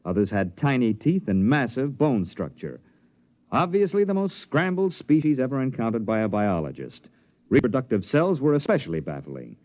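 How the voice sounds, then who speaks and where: Dark-voiced clinical log narrator played by a man. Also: medium pitch, very animated, moderate pace, natural voice. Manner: clipped, formal, report-like. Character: clinical male scientist dictating a terse colony log. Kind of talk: 1950s radio drama narration. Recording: muffled old 1950s radio recording.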